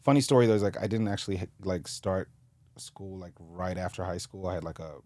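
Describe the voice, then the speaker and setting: A man's voice: sits low, brisk, warm tone, no echo. A man in his thirties from Zambia and South Africa, telling personal stories casually and jokingly as a podcast guest. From Zambia and South Africa, moved to the US in high school. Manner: informal, joking, frequent "like". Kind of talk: casual conversational storytelling.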